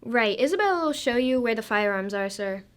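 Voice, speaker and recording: A woman's voice: on the high side, lively intonation, fairly quick, clean tone, lightly acted. A woman playing a deferential, plain-spoken country police sergeant in a radio drama, with a folksy way of talking. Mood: suspicious.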